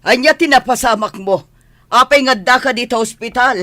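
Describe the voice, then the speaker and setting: A woman acts an exasperated village mother in a high, sharp voice. She scolds with heavy sarcasm and an Ilocano accent, as in a comic radio play. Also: moderate pace, close to an everyday voice. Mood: impatient. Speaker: radio drama performer. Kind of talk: comedy radio drama acting.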